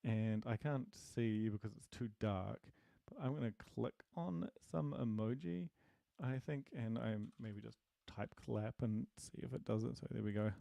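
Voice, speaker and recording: A man answers programming questions on a live stream in a halting, thinking-aloud way, full of ums and self-corrections. His voice is dark and mellow with a gravelly edge. He is self-deprecating and friendly, and the sound is close and dry. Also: mid-range voice, no echo. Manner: halting, many fillers and restarts, thinking aloud. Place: home desk, dim room.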